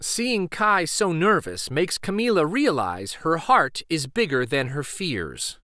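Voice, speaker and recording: A man reading a children's story aloud in an expressive, deliberate way. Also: on the high side, no room sound, studio quality. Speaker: storybook reader.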